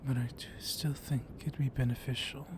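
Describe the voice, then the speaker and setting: A man playing a flirtatious, gently dominant vampire librarian in an intimate ASMR roleplay, speaking softly and often in a whisper, teasing and seductive. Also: mid-range voice, little pitch movement, normal speed, background noise, close to an everyday voice.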